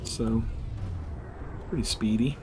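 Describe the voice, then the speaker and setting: A man's voice: mid-range voice, steady tone, slow. A man chatting informally and slangily as he gives rambling live commentary on a video game he is streaming. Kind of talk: casual live gameplay commentary. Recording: clear background noise.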